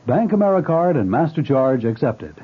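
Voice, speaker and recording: A man reading a 1970s radio commercial as a brisk, upbeat pitchman. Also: big pitch swings, soft background music. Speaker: ad announcer. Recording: tinny lo-fi radio broadcast.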